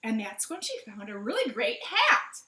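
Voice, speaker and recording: Animated, bright-voiced woman hosting children's storytime. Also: on the high side, moderate pace. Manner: playful, asks the child viewers questions. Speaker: library storytime host. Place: living room at home. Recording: roomy home echo.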